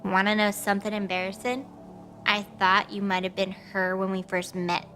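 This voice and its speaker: A woman's voice: mid-range voice, level tone, normal speed, fairly bright tone, slight background noise, natural voice. A woman playing a brash, teasing, cocky goddess in an audio drama, flippant and slangy. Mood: wry. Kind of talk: acted audio drama dialogue.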